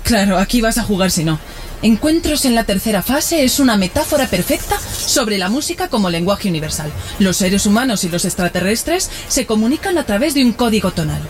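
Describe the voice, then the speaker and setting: A woman's voice: medium pitch, big pitch swings, brisk, faint music behind, natural voice. A woman playing a pedantic, wry and cautious companion in an audio drama.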